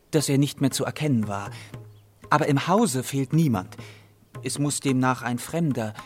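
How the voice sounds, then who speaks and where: A man's voice: medium pitch, natural voice. A man playing a youngish, odd European castellan in a German radio play, explaining in courteous, elaborate, formal phrases. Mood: courteous, macabre. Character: youngish, strange European castellan gone native, polite, faintly unsettled.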